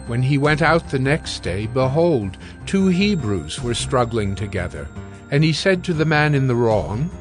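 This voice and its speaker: A man narrates scripture in a scripted audiobook style. His voice is medium in pitch, with soft music underneath. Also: sing-song, a little slow.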